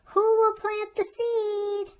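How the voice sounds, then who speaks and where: Lady doing a busy little storybook mama hen, high voice, calls out big and hopeful. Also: level tone. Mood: hopeful calling. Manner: loud, drawn-out calling.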